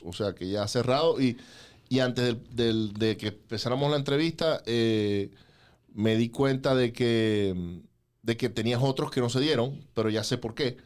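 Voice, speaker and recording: A man's voice: medium pitch, normal speed, a little mellow. A man in his forties, hosting a podcast and interviewing in a conversational style. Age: 40s. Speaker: podcast host.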